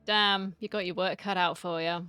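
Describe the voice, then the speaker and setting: A woman's voice: lowish voice, fairly even intonation, normal speed, sharp tone, clean tone. A woman giving casual, wry, chatty reaction commentary while playing a video game. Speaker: gaming streamer. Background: faint game music.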